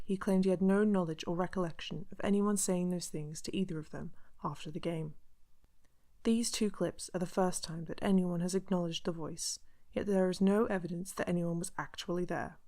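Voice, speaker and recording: A woman playing a serious investigator, giving measured, solemn scripted true-crime narration for a radio drama. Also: lowish voice, a little mellow, natural voice. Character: serious female investigator narrating unsolved disappearances. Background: instrumental music.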